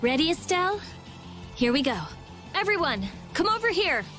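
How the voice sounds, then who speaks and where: Bossy, high-pitched teenage girl mage. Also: sing-song, moderate pace, soft background music, full character voice. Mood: curt, urgent. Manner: sharp-tongued, emphatic. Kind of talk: anime game voice acting.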